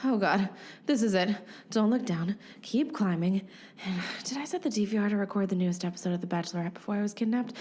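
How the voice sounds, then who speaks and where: A woman's voice: mid-range voice, fast, lightly acted. A woman acting as a scatterbrained narrator on a secret mission in a radio play, chatty and comic. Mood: flustered. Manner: chatty, comic, self-interrupting. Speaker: narrator and lead actor. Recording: music behind the voice. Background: music.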